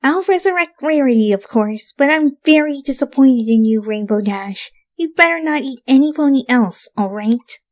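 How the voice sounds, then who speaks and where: A woman's voice: sits high, animated, normal speed, hardly any pauses, no echo, pitched above the performer's own voice. A woman voicing an earnest, bossy young female pony scholar in a story reading, articulate and scolding. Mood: disappointed scolding.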